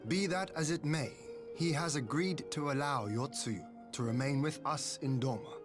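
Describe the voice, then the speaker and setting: A man's voice: fairly high pitch, moderate pace, close to an everyday voice. A man voicing a composed noble leader. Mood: steady. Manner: formal and composed. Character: calm young male leader, noble and steady. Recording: game dialogue over background music.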